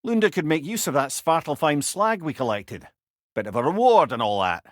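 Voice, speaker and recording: A man's voice: a little high, big pitch swings, moderate pace, no room sound, studio quality. A man voicing a wise, witty old Scottish advisor in a video game, with a wry, eloquent and rueful manner. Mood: advising. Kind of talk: video game character dialogue.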